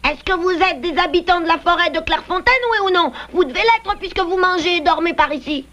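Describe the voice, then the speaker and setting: Very high-pitched little bear cub. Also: female voice, fairly quick, sharp tone. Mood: indignant. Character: small, blunt, anxious young bear cub. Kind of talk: dubbed cartoon acting. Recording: muffled old VHS cartoon with music.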